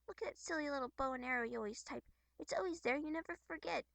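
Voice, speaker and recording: Very high-pitched playful cat-girl troll. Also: quick pace, vocal fry, dry recording. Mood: teasing indignation. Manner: animated, childlike, teasing. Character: playful, catlike teenage troll girl, excitable and stubborn.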